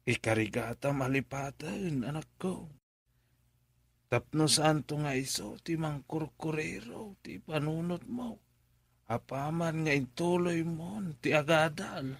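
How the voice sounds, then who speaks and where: A man playing a middle-aged father who gently advises, in a radio drama. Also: fairly high pitch, unhurried, gravelly, husky, no echo, full character voice. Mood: concerned, advising. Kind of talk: Filipino radio drama acting.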